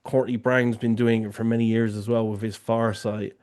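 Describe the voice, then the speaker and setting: A man's voice: lowish voice, flat delivery, normal speed, darkish tone. A man hosting an online question-and-answer session, talking loosely with many "you know" fillers. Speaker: remote viewing tasker and session host. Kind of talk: casual online Q&A discussion.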